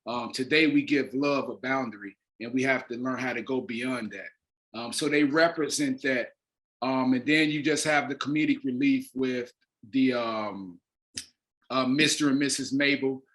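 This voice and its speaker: A man with an American accent talks as a stage director being interviewed. His pitch sits in an average male range, and his delivery is casual and promotional. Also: normal speed, fairly bright tone, poor audio.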